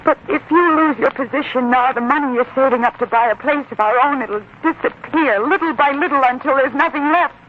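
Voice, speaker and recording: An actress playing a distressed, devoted young bride-to-be, fretful and earnest, in theatrical 1940s radio style. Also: female voice, sits high, brisk, hardly any pauses, close to an everyday voice. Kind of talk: 1940s radio drama acting. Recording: tinny, noisy 1940s radio broadcast.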